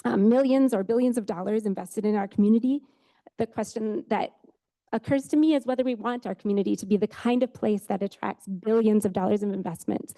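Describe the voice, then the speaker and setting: A woman's voice: medium pitch, fairly quick, warm tone, low-quality recording. A woman speaking as a resident during public comment at a city council meeting, earnest and hesitant with frequent ums.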